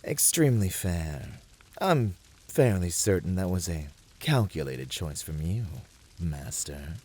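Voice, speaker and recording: A man playing a flirty, devoted incubus demon in an ASMR roleplay, teasing his master with effusive flattery. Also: sits low, slow, husky, heavily acted. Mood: playful, cocky.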